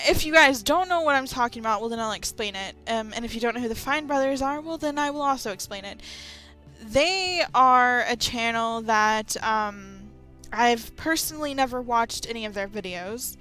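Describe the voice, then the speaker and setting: A woman's voice: fairly high pitch, sharp tone. A woman giving casual, rambling opinion commentary as a YouTuber, with sarcastic asides. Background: light background music.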